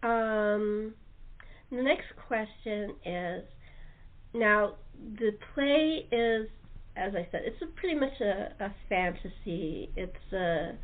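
Halting woman host on muffled narrow-band audio. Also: mid-range voice, animated. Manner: halting, rambling, often restarting sentences. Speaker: radio show host. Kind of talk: casual chat interview.

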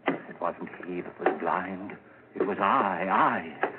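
An actor playing a gentle, idealistic, anxious man in an earnest, melodramatic 1940s radio style. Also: low voice, fairly even intonation, slow pace, phone-quality sound. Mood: anguished. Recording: thin, noisy 1940s radio recording.

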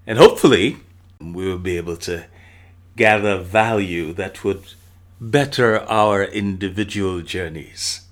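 A man hosting an interview show, reading a scripted intro and then questioning his guest in a measured, deliberate way. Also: low voice, a little hiss or hum. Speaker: host.

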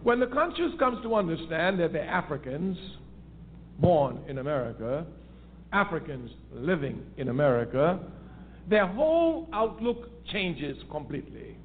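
A man's voice: fairly high pitch, very animated, measured pace, a little hiss or hum. Man lecturing over a tinny phone line. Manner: emphatic, repeats key phrases for force. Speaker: political speaker on a call-in radio show. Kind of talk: impassioned political talk.

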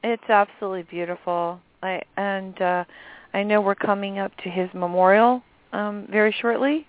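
A woman hosting an internet talk radio show, upbeat and chatty, a little raspy and tired from allergies. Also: medium pitch, steady tone, moderate pace. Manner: upbeat, enthusiastic, some filler ums. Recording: phone-line call-in radio audio.

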